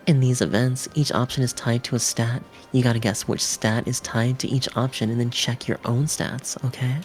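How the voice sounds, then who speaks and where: A woman's voice: a bass voice, darkish tone. A woman reading video game narration and tutorial text aloud as a stream co-host. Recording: music behind the voice.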